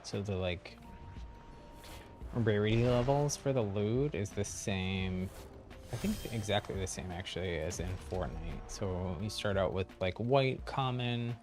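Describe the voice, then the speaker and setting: A man's voice: on the low side, a little slow. A man streaming a game, giving casual, easygoing explanatory commentary to viewers. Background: game music.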